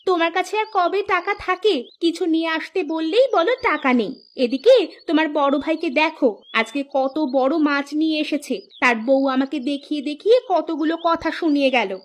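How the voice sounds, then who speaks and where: A woman's voice: high-pitched, moderate pace, lightly acted. A woman voicing a demanding, quarrelsome young village wife in a cartoon, with a complaining manner, music behind her. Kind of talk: Bangla cartoon dialogue.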